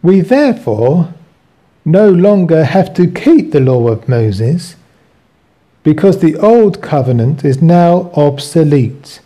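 Slow-paced man with a very muffled, mellow tone. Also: on the high side, big pitch swings. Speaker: host. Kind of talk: reading own written teaching aloud, with asides.

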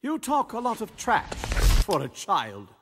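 A man's voice: high voice, wildly swooping pitch, a little slow, exaggerated voice. An actor playing a disdainful male assassin boss in a video game. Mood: scornful. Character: scornful male assassin boss facing a challenger. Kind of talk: video game cutscene acting. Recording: very noisy, reverberant game audio.

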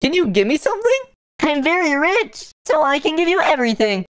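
A woman voicing naive, excitable young game avatars in an animated comedy skit, with exaggerated wide-eyed delivery. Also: high voice, a little fast, no room sound, clean studio sound. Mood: boastful.

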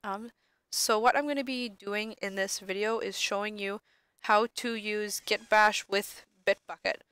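A young woman lab instructor, sniffly from allergies, talking casually through a screen-recorded software tutorial with many ums. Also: medium pitch, steady tone, measured pace, smooth, no echo.

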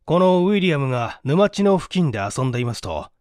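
A man playing a matter-of-fact police detective in a read-aloud story, explaining things in a measured way. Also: on the high side, very animated, darkish tone, no echo, studio quality. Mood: explanatory.

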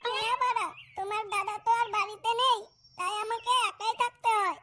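A woman's voice: very high-pitched, steady tone, a little fast, sharp tone. A woman voicing a blunt, foul-mouthed married adult village woman in a comic cartoon. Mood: matter-of-fact.

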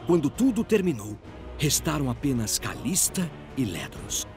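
A man's voice: mid-range voice, sing-song, normal speed. A man with a Brazilian accent giving dramatic scripted narration of a fantasy audio drama. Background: music.

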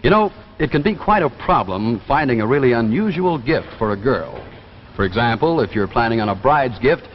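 An American man in his thirties delivering a polished, emphatic 1950s television sales pitch as a commercial announcer. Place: television studio. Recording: dull vintage TV soundtrack, reverberant, faint noise.

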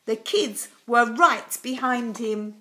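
An older woman reading a children's story expressively and dramatically, with deliberate pauses, in a small room at home. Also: fairly high pitch, sharp tone.

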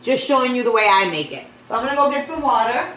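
A woman's voice: fairly high pitch, animated, fast, a bit bright. A woman from the Bronx giving a casual, unscripted home cooking demonstration, chatting informally to viewers in her kitchen. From the Bronx, New York, USA. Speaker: home cook vlogger. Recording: echoey room, clear background noise.

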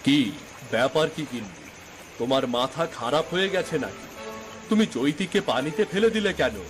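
A man's voice: fairly high pitch, lively intonation, normal speed, natural voice. A man playing an emphatic, melodramatic village man in a cartoon folk tale. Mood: scolding. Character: stern, scolding village husband and pleading chief in a folk tale. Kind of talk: Bengali cartoon fairy-tale voice acting. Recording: music behind the voice. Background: background music.

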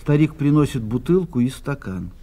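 A man's voice: mid-range voice, lively intonation, moderate pace, warm tone. A man reading stage directions as the narrator of a radio play. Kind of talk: scripted narration. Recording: roomy, distant, faintly noisy radio recording.

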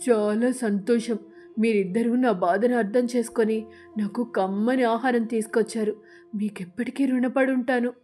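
A woman voicing a lazy, demanding male eagle with an emphatic, entitled manner in an animated storybook. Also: fairly high pitch, normal speed, soft background music, close to an everyday voice. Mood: grateful.

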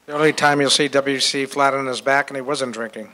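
A man's voice: mid-range voice, steady tone, brisk, bright, forceful tone. A man chipping in informally with short anecdotes and details during a family-history talk. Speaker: co-presenter adding family details. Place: hotel conference room.

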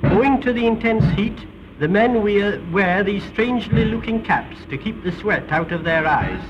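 A man's voice: high-pitched, moderate pace, dull audio, over music. A British man giving formal, precise scripted narration in the theatrical manner of a 1930s educational film, on an old film soundtrack. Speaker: documentary narrator.

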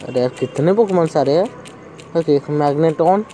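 Young male host, relaxed let's-play chatter, light faint background noise. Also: on the high side, moderate pace. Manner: chatty, thinks aloud while playing. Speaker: gaming channel host. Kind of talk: casual let's-play commentary.

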